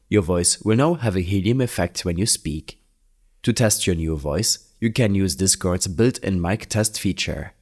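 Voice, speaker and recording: A man narrating a scripted step-by-step software tutorial in an orderly, plainly worded, instructional manner. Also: low voice, breathy edge. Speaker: tutorial host.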